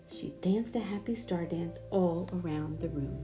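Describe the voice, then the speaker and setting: Woman reading a children's story, soft-toned. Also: on the low side, a little slow, faint music behind. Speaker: storybook reader. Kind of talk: children's read-aloud narration.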